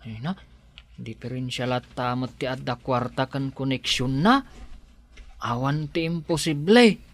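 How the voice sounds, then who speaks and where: A man playing an earnest young husband and son in a radio drama, with a bright, forceful voice. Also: mid-range voice, unhurried, natural voice. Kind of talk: Ilocano radio drama acting.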